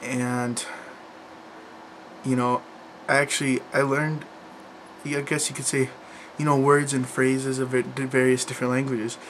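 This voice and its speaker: A man's voice: mid-range voice, level tone, a little slow, long pauses, a bit bright, a little hiss or hum, low-quality recording. A young man chatting casually to camera about learning languages, rambling, with lots of fillers and restarts. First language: English.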